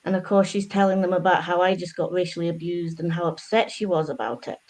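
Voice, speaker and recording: A woman who grew up in Scotland, a guest on a podcast, calmly telling a personal story. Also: fairly low pitch, fast talker. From Scotland, from about age ten. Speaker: guest, anti-racism consultant.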